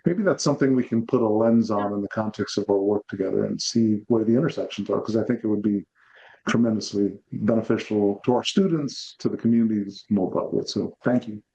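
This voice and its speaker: A man's voice: lowish voice, fairly quick, warm tone. A man from Zambia, a partner speaking graciously and collaboratively on a video call. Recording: dull lo-fi video call audio.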